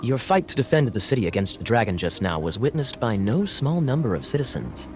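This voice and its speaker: A man's voice: mid-range voice, sing-song, brisk, soft background music. A man playing a composed, courteous knight envoy in a video game, polite and formal. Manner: polite, formal, smooth-talking.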